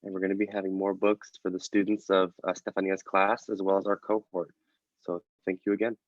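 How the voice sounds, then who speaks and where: A man's voice: low voice, little pitch movement, brisk, no echo. A man, a program participant, closing with a brief plain announcement over dull, dry call audio.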